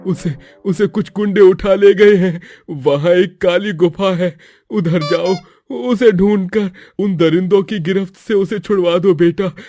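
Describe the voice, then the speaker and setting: A man voicing a frantic old village grandfather who begs in a halting, tearful, repetitive way. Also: high-pitched, dark tone. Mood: distressed, pleading.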